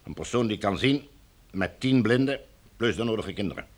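An actor playing a formal, domineering military commander in a radio drama, smooth and coldly menacing. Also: male voice, lowish voice, lively intonation, moderate pace. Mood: firm, threatening. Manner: formal, officious, bureaucratic.

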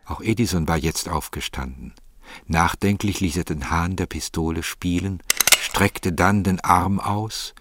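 Very deep-voiced male narrator. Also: unhurried, very noisy. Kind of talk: scripted literary narration.

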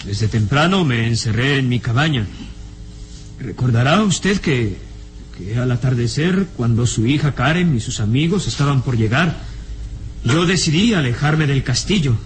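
An actor playing a submissive, jealous, lovesick man, speaking haltingly and with anguish in an old radio serial. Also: medium pitch, lively intonation, slight background noise, lightly acted. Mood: submissive, fearful. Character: cowering, obsessively jealous servile man, lovesick suitor. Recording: vintage radio serial with music behind.

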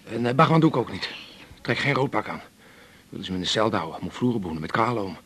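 A touchy, down-and-out man in a radio play. His voice is low and husky, and he rambles insistently, pleading and complaining as he goes. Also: expressive, fast, a bit bright. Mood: eager, rambling. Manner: rambling, insistent, jumping between grievances. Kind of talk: naturalistic radio drama acting.

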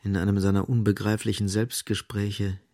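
An actor as the grave, ironic narrator of a radio play, delivering literary prose in a measured, detached manner. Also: male voice, fairly low pitch, little pitch movement, close to an everyday voice.

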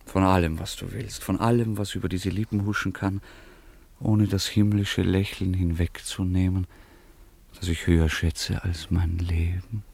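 A man's voice: deep voice, little pitch movement, measured pace, gravelly, a little mellow, breathy edge, exaggerated voice. An actor playing an ardent, melancholy young nobleman, speaking earnestly and lyrically in a radio play. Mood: tender, melancholy. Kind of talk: classic radio play acting.

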